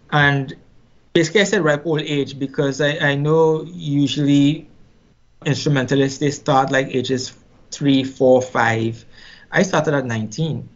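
Reflective Trinidadian man, slightly dull sound. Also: a little high. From Trinidad and Tobago. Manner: unhurried, reflective, repeats words as he thinks. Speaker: musician guest. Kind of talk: casual interview storytelling.

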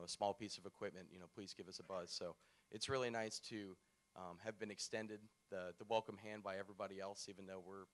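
A man's voice: fairly low pitch, fairly bright tone. A man on a discussion panel, speaking as a new brewery owner in an easygoing way with fillers.

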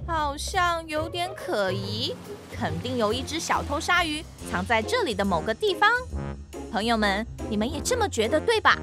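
A woman voicing an eager, friendly young fox police officer in a children's cartoon, animated and questioning, with a Taiwanese Mandarin accent. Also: high voice, moderate pace. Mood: curious, searching. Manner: animated, questioning, exaggerated reactions. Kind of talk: children's cartoon dubbing, addressing young viewers. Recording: music behind the voice.

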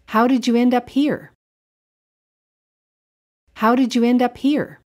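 A woman conducting an interview with short, plain questions. Her voice sits a little high. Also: fairly even intonation, slow pace, a little mellow.

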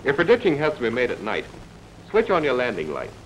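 A man's voice: mid-range voice, wildly swooping pitch, a little fast. A man acting as an easygoing wartime pilot, informal and matter-of-fact, in a 1940s instructional film. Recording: very noisy 1940s film soundtrack.

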